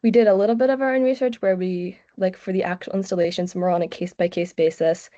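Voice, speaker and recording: A woman's voice: fairly low pitch, dark tone. A sixteen-year-old girl from the United States, giving a casual student presentation with lots of "like" fillers. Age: teens. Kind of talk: online presentation. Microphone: video call. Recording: muffled lo-fi video call audio.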